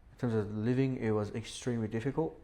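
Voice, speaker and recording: A young man from Syria talks through his past on a podcast, relaxed and conversational. His voice sits at an average pitch, and he fills pauses with easy "um"s and "you know"s. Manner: relaxed, storytelling, frequent fillers. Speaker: podcast guest. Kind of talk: casual podcast interview. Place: indoor podcast setup.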